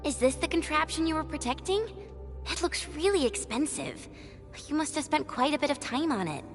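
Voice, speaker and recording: High, bouncy, cocky young swordswoman. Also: expressive, normal speed, over music. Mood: playful, curious. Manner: breezy, cocky, playful. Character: cheerful, boastful young swordswoman special operator. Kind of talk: video game voice acting.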